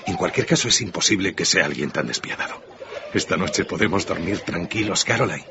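An actor playing a weary but hopeful husband in debt in a radio drama, earnest and reassuring. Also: male voice, sits low, animated, a little fast. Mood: relieved. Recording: muffled, with clear ambient noise.

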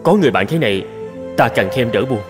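Poor young scholar man actor: gentle, lonely, wondering, mid-range cartoon voice over music. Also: medium pitch, expressive, fast, natural voice. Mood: pleased, welcoming. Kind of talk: cartoon fairy-tale dub.

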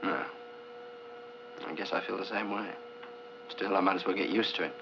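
A man's voice: deep voice, steady tone, moderate pace, creaky. An actor playing an earnest, determined male scientist in a 1950s science fiction film. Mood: earnest, probing. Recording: muffled old film soundtrack with hiss.

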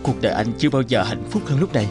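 A man's voice: mid-range voice, sing-song, very fast, close to an everyday voice. A man voicing a devoted young husband and new father in a cartoon, heartfelt and emotive. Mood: grateful, tender. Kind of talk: dubbed cartoon dialogue. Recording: music behind the voice. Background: music.

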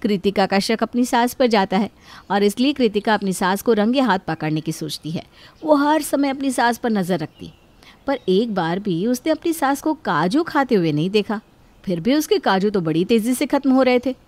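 A woman's voice: medium pitch, animated, normal speed, a little mellow. A woman narrator telling a cartoon story in scripted storytelling style.

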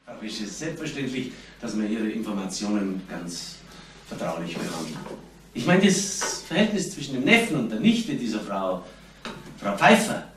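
A man's voice: lowish voice, big pitch swings, normal speed, fairly bright tone, recorded from a distance. Courteous male interviewer, roomy sound. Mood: courteous questioning. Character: courteous, slightly wry male police interviewer. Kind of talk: German radio crime drama acting. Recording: roomy, off-mic, faint noise.

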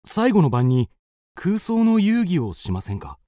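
A man's voice: sits high, huge pitch swings, measured pace, warm tone, dry recording, full character voice. An actor playing a courteous, wistful and persuasive male traveller in a radio drama, polite and poetic. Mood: earnest, wistful.

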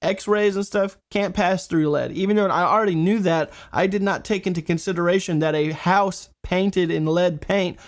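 A man's voice: high-pitched, moderate pace, few pauses. A man in his thirties hosting a reaction show, talking casually to camera from a home studio, still getting over a chest cold. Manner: chatty, candid, laughs at himself. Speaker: host reacting to clips. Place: home studio with neon lights.

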